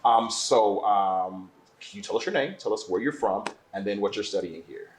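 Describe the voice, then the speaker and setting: A man hosting a casual interview at a table with guests, upbeat and quick to repeat his praise. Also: lowish voice, slight background noise, poor audio. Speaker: interviewer, magazine host.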